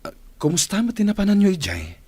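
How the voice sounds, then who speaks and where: Evasive husband played by a man, lively swinging pitch. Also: a little fast, slightly rough, full character voice. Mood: placating. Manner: hesitant, stammering excuses. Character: evasive cheating husband and father, flustered and placating. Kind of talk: radio drama acting.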